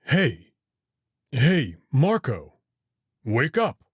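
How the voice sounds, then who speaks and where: A man's voice: medium pitch, wildly swooping pitch, extremely slow, breathy edge, dry recording, studio quality, full character voice. A man voicing a friendly young male wolf with a polite, slightly formal, eager manner in a visual novel. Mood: gentle. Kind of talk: visual novel character reading.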